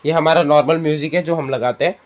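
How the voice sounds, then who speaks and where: A man with an Indian accent and a slightly high-set voice presents a casual tutorial. He explains each step conversationally. He keeps checking in with the listener as he goes. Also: fairly even intonation, quick pace, over loud music. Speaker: tutorial host.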